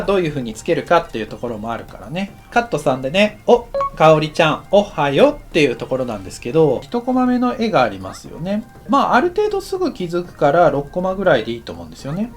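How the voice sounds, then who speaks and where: A man giving a casual, explanatory tutorial as an anime director, thinking aloud in short halting phrases. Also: sits high. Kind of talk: instructional lesson narration, casual and explanatory.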